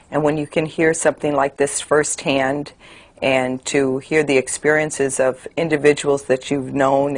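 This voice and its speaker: A speaker born and raised in the United States, speaking earnestly in a documentary interview with frequent fillers. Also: medium pitch. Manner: even, earnest, many fillers.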